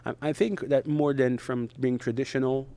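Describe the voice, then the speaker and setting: A middle-aged man from Israel, a native Hebrew speaker, talking in English as an interview guest in short, halting phrases with frequent restarts. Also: mid-range voice, fairly even intonation, warm tone. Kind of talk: conversational interview.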